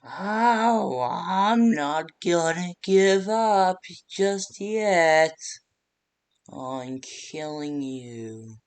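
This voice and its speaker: A performer voicing a menacing, gloating nightmare villain for a game, with a drawn-out, threatening delivery. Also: medium pitch, animated, pitched above the performer's own voice.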